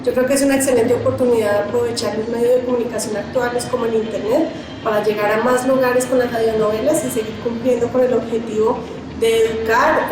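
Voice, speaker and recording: A woman giving her opinion as a viewer in a recorded testimonial. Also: fairly high pitch. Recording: very echoey, distant, noisy ambience.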